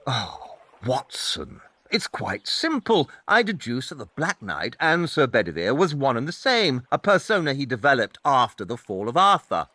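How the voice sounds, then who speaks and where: A self-assured male detective, acted by a man in an audio play, reasoning aloud with theatrical care. His middle-pitched voice moves expressively up and down, and the recording is very dry. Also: sing-song, normal speed, hardly any pauses, pushed and bright, no echo. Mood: confident explaining. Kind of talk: audio drama acting.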